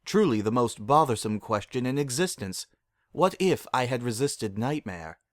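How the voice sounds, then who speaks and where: Man as a royal night mare, formal, solemn, gentle, measured, storybook drama, dry sound. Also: mid-range voice, animated, no echo, close to an everyday voice. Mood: firm, reflective. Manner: formal, measured, archaic courtesy.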